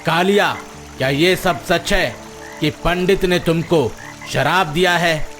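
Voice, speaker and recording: A man's voice: a little high, slow, fairly bright tone. An actor voicing a gullible, self-important frog king in a cartoon moral story, with a regal, curious manner. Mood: commanding. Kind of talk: animated Hindi moral story dialogue. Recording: music behind the voice.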